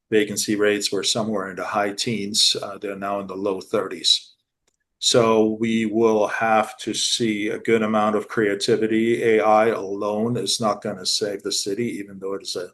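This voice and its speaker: Man from Germany, low voice, businesslike, pauses with "uh". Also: fairly bright tone, slight background noise. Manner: measured, businesslike, frequent "uh" fillers. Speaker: commercial real estate managing director, guest. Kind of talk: casual interview talk.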